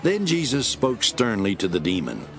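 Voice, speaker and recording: Guy narrating scripture from a script, lowish voice, a bit animated, music playing behind. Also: normal speed, creaky.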